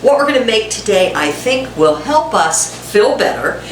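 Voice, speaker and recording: A woman's voice: a little high, very animated, normal speed, slight background noise. A woman with a head cold and a congested, nasal voice hosts a casual home cooking demonstration, chatting to viewers with self-deprecating asides. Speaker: cooking channel host. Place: home kitchen. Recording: echoey kitchen, mic at a distance. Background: frying sizzle and a dishwasher running.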